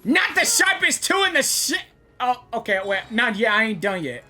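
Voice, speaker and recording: Excitable, high-pitched woman reacting to a game. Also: moderate pace, soft background music. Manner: exclamatory, repetitive, slangy. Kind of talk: excited live reaction commentary.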